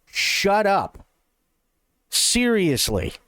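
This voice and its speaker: A man's voice: high voice, big pitch swings, extremely slow, pushed and bright. A man ranting sarcastically while reacting to a live showcase stream, swearing often and mocking what he hears. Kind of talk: profane live-stream commentary.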